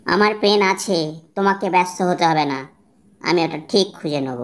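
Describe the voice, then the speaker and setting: A woman's voice: fairly low pitch, normal speed, heavy background noise, natural voice. A woman voicing a harried, grumbling schoolchild in an amateur comedy cartoon. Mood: defiant grumbling.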